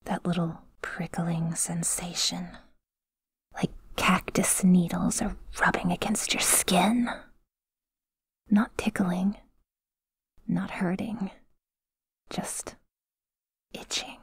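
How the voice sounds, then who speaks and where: A possessive female demon, acted by a woman whispers in a very breathy voice, close and dry. She draws her words out very slowly, taunting and intimate, in a menacing ASMR roleplay style. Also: lowish voice, steady tone, dry recording, heavily acted. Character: possessive, menacing, teasing female yandere sleep paralysis demon.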